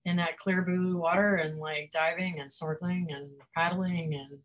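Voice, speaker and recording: A woman with a slightly low voice chats easily as an interview guest. She is talkative and self-deprecating, and laughs often between thoughts. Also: steady tone, moderate pace, lo-fi sound. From Florida. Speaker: guest author and publisher. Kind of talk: casual interview chat.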